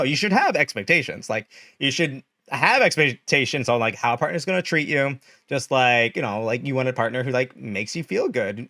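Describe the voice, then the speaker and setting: A man's voice: on the high side, fairly bright tone, dry recording, studio quality. A young man with a nasal voice, chatting animatedly and laughing often as he talks to his stream. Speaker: streamer host. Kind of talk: casual livestream chat.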